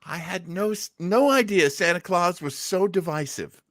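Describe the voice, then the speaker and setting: A man's voice: high voice, a little slow, fairly bright tone, no room sound, clean studio sound. A man hosting a podcast, chatting casually in reaction to what he hears. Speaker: podcast host.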